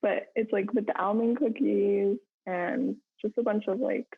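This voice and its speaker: A woman's voice: mid-range voice, fairly even intonation, measured pace, slightly rough. A young woman, a podcast co-host born in Hong Kong and raised in the United States, chatting casually with lots of fillers and little laughs. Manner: laughs between phrases, many fillers. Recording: tinny phone-like line.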